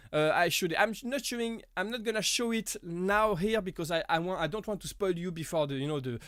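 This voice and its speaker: A man hosting a casual live stream Q&A, chatty and halting, with frequent small laughs. His voice is deepened while he gets over an illness. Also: fairly bright tone, smooth, no echo, clean studio sound. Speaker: live stream host answering viewer questions.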